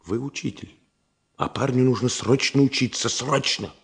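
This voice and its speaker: A man's voice: medium pitch, normal speed, husky. An actor playing an impulsive, big-hearted engineer in a Soviet radio drama, coaxing insistently and repeating his words. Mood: agitated, insistent.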